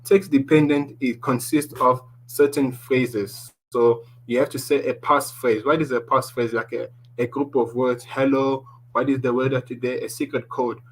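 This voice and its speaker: A man with a deep voice presenting a tech lesson, walking through slides and often restarting his sentences. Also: fairly even intonation, moderate pace, hardly any pauses, husky, low-quality recording. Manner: explaining slides, restarts often, ends points with "basically".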